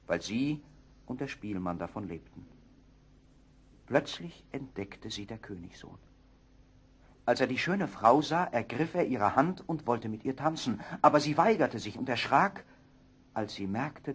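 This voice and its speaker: A man narrating a scripted fairy tale as an expressive storyteller. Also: medium pitch, breathy edge.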